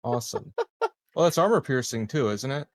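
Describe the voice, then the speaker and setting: Casual male gamer, mid-pitched voice. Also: very animated, fairly quick, dry recording, clean studio sound. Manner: informal, bantering with the game master. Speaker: tabletop game player. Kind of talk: casual game-table chat.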